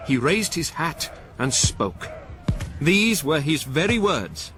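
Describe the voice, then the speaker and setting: Very slow, solemn soldier. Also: male voice, on the high side, very animated. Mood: solemn, rousing. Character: proud soldier witness solemnly quoting his commander. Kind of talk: radio drama narration. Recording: loud music behind.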